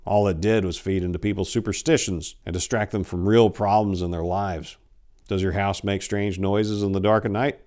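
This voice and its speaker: A man in his forties giving a theatrical, expressive dramatic reading of horror fiction. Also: low voice, moderate pace. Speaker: storyteller. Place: small indoor room lined with bookshelves. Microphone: lapel.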